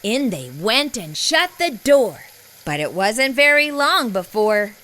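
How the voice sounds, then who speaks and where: A woman reading a children's storybook aloud with an animated, deliberate delivery. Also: fairly high pitch. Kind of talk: scripted storybook narration, read aloud.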